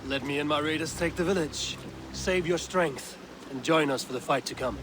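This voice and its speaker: A man's voice: a little high, normal speed, lightly acted. Man acting a resolute Viking war leader, noisy surroundings. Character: resolute Viking raider war leader, male, steady and commanding. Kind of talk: video game cutscene acting.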